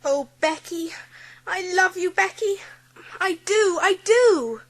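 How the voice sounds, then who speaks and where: A woman playing a kind, warm-hearted schoolgirl of about ten, gentle and earnest, in a dramatised audiobook. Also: very high-pitched, slow pace, full character voice, poor audio. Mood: moved, affectionate.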